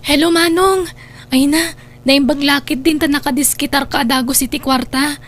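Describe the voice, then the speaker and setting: A very high-pitched actress playing a young student sister in an Ilocano radio drama. She has an Ilocano accent and a needy, pleading way about her. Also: steady tone, moderate pace, faint music behind, lightly acted. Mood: grateful, coaxing. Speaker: voice actor.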